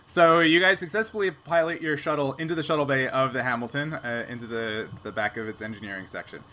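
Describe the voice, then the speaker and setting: A man narrating an improvised sci-fi tabletop game as game master, conversational and pausing to find his words. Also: mid-range voice, pushed and bright.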